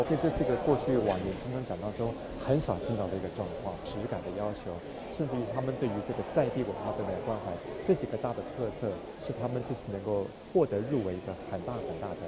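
A man's voice: medium pitch, rough recording. A man answering as an awards juror in a press interview, speaking into handheld microphones at a busy indoor event. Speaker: awards jury chair giving comments. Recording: loud crowd behind press interview.

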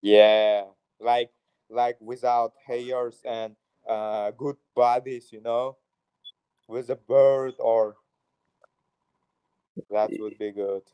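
A young man chatting casually and teasingly in halting on a phone video call. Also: mid-range voice, flat delivery, warm tone, clear, resonant tone, poor audio. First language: not English. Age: young adult. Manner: teasing, joking. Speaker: stranger on a video chat. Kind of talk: casual chat in halting non-native English. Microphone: phone at arm's length.

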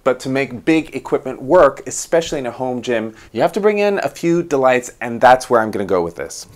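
A man in his thirties hosting a design show, walking through a floor plan in an animated way from his home office on a lapel mic. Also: medium pitch, brisk, a little hiss or hum. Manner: animated, gestures while explaining. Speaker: interior designer host.

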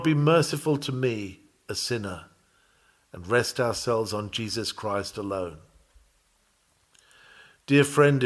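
Man reading a sermon aloud, earnest and formal. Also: medium pitch. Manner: earnest, formal, rhetorical delivery. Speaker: reader of a historical sermon. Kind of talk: sermon read aloud, solemn measured preaching.

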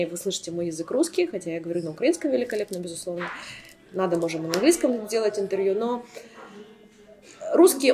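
Woman from kyiv chatting on a podcast couch, lively, says "uh" a lot, desk mic. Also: medium pitch. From Kyiv, Ukraine. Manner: animated, energetic storytelling with filler sounds. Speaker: guest, salon owner. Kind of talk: casual interview talk. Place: small studio with sofa, indoors. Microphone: desk microphone.

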